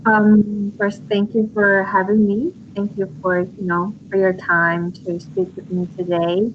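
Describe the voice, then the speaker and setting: A woman in her twenties, a guest speaking earnestly and hesitantly over a video call, pausing often on ums. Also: medium pitch, level tone, lo-fi sound, slight background noise. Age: 20s. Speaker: interview guest.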